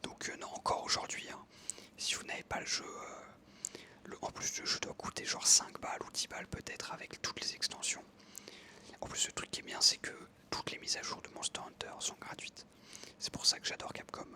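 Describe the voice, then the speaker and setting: A young adult whispering breathily in a casual ASMR style, chatting informally and enthusiastically while narrating gameplay up close. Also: moderate pace. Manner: informal, enthusiastic gamer talk.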